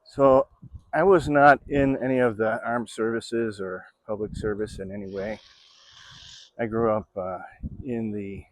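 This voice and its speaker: Rambling sixties man vlogging from his bike, low-pitched, dry close sound. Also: fairly even intonation, a little slow, dry recording. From Florida, USA. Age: 60s. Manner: rambling, chatty, with many fillers. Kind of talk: casual vlog narration while riding an e-bike. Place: outdoors on a bike trail.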